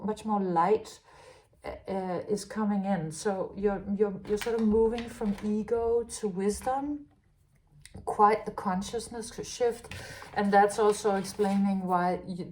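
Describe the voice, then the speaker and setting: A woman's voice: mid-range voice, a little mellow, slight background noise. Musing Danish woman reading tarot. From Denmark. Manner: halting, many restarts and fillers, musing. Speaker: tarot reader. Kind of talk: spontaneous spiritual tarot reading.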